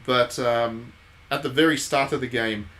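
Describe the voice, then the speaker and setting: A man's voice: mid-range voice, steady tone, a little slow, a bit bright. A man hosting a game stream, giving casual live commentary and thinking aloud in conversational asides.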